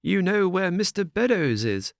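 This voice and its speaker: A man voicing a hearty older country squire with a loud, exclaiming manner, raised far above his own pitch. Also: very animated, normal speed, creaky, no echo, clean studio sound, heavily acted.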